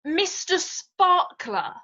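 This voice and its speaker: A woman playing a timid, gentle and earnest young younger sister in a period drama, speaking politely and hesitantly. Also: extremely high pitch, very animated, drawn-out words, highs cut off, full character voice. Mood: anxious. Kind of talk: stage-style period drama dialogue.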